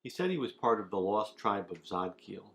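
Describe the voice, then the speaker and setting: Very deep, gravelly priest. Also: male voice, big pitch swings, moderate pace, a bit bright. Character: grave, doubting male priest and father. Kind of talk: low-budget film acting.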